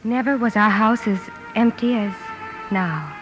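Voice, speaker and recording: A woman acting a wistful young narrator in a 1950s radio drama, reflective and measured with dramatic pauses. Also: mid-range voice, lightly acted. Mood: grave. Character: wistful young woman recalling her family's past. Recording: vintage radio recording with music.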